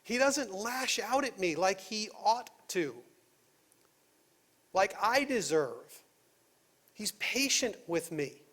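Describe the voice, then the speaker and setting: A pastor with a notably high voice delivers a Sunday sermon in a church. He talks in a relaxed, conversational way, full of rhetorical questions, and lowers his voice to stress the key points. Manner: conversational preaching with rhetorical questions, dropping to quiet emphasis. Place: church sanctuary.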